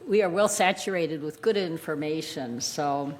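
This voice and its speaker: A woman answering off the cuff as a candidate at a public meeting, speaking haltingly and searching for words. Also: low voice, a little mellow, slight background noise, rough recording. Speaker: board candidate at a community forum. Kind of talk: impromptu spoken answer at a meeting.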